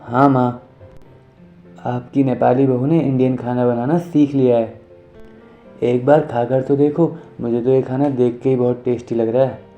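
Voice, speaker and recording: A man voicing a caring, reassuring young husband in an animated moral story, with a gentle consoling manner. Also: medium pitch, measured pace, dull audio, close to an everyday voice. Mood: cheerful. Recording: music under the voice.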